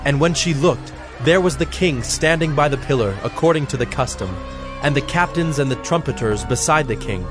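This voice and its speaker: Solemn male scripture narrator over faint music. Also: medium pitch.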